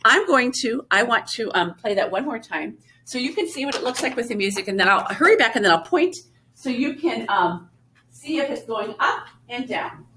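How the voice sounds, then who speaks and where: A woman's voice: on the high side, very animated, moderate pace, bright, forceful tone. A woman music teacher giving a recorded lesson to young children, explaining patiently step by step. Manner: sings short phrases to show melody.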